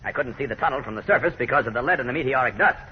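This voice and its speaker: An actor playing a confident, steady superhero with a brisk, matter-of-fact manner in a 1940s radio serial. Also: male voice, medium pitch, breathy edge. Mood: assured, explaining. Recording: muffled vintage radio recording.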